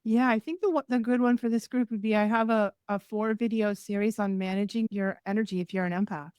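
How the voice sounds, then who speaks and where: A woman's voice: medium pitch, steady tone, brisk, a little mellow, clean tone, dry recording. Woman guest giving practical advice. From New England. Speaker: podcast guest, energy healer. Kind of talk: casual interview chat.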